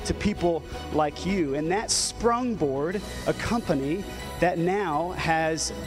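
A man's voice: a little high, takes it slowly. A man giving a testimonial address as an event speaker, with music playing behind him.

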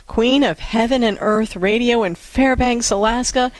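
A woman's voice: on the high side, fairly even intonation, a little slow. A woman co-hosting a call-in radio show, speaking in an enthusiastic, encouraging way. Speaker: radio talk show co-host.

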